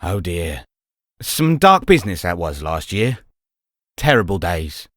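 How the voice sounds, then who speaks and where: An actor playing a courtly, effusive old-fashioned gentleman in a comic dub. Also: sits low, big pitch swings, measured pace, no echo, studio quality, full character voice. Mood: solemn. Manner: florid, courtly.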